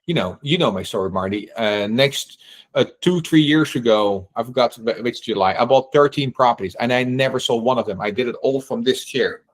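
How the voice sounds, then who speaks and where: A middle-aged man with a Dutch accent talks through real estate questions in a lively, chatty way. He acts out little quotes and keeps checking in with "right?", restarting his sentences as he goes. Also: medium pitch, a little fast. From Netherlands. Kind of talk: casual Q&A talk.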